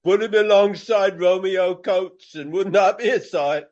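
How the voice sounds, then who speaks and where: An actor playing a jeering, drunk Regency Englishman in a comic radio play, with slurred, drawn-out banter. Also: high voice, darkish tone, muffled recording. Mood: mocking, amused.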